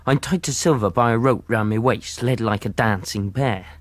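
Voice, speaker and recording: Man as a low-voiced, apprehensive young adventurer. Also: normal speed, no echo, close to an everyday voice. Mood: tense. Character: young male adventurer recounting his ordeal, apprehensive. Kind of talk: first-person radio drama narration.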